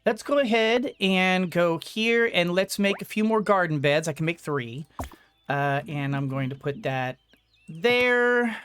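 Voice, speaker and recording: Woman, gaming commentary, gentle, thinking aloud, slightly gunky throat, faint room noise. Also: lowish voice, animated, slow pace, clean tone. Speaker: gaming YouTuber. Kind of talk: let's-play commentary.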